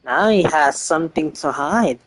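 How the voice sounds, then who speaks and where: A woman's voice: deep voice, animated, moderate pace, rough recording. A woman casually reacting while playing a video game, with informal interjections. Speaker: game streamer. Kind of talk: casual live gameplay reaction.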